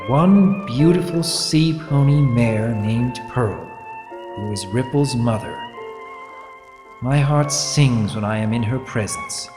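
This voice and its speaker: A man voicing an earnest, lovestruck pony baron reading out a formal letter in a measured, heartfelt way, with reverb on the voice. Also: medium pitch, a little mellow, voice pushed up. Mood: tender, devoted. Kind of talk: dramatic reading of a storybook letter. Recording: echoey reverberant effect with music behind.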